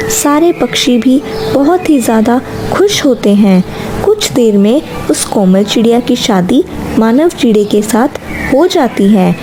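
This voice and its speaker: A woman's voice: a little high, background noise. A woman reading a scripted children's story as its narrator.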